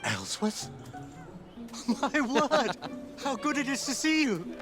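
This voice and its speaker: A man's voice: very high-pitched, huge pitch swings, slow, over music, exaggerated voice. A man playing a proud young Indian maharaja in a video game cutscene, haughty and pleasure-loving, with formal aristocratic diction. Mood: delighted.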